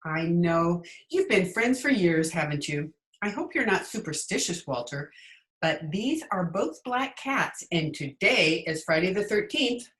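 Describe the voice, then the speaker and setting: A woman playing a quick-witted, sardonic schoolteacher in an old-time radio comedy, recorded remotely in a room. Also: on the low side, expressive, fairly bright tone. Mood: wry. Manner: dry, sardonic. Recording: roomy echo, remote video-call recording.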